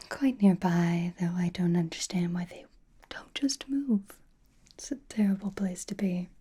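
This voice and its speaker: A woman playing a flirtatious, teasing vampire in a whispered ASMR roleplay, with intimate, breathy delivery and soft sighs. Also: on the low side, a little slow. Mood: playful, reassuring. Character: flirtatious, possessive vampire companion, teasing and reassuring.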